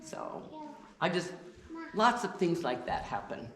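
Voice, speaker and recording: A woman giving an informal talk to a church congregation, deliberately loud and chatty, with many restarts. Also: fairly low pitch, very animated. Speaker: guest speaker. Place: church sanctuary. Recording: echoey church with faint noise.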